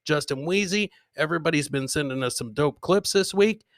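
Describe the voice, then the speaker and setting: A man's voice: on the high side, a little fast, no room sound, clean studio sound. A man hosting a live show, chatting casually and slangily with his viewers and often repeating himself. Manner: slangy, repeats himself, addresses listeners directly. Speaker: podcast host.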